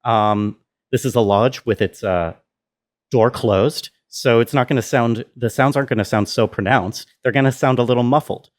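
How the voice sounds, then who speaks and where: A man giving a casual tutorial walkthrough as a sound designer, thinking aloud in a halting way. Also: medium pitch.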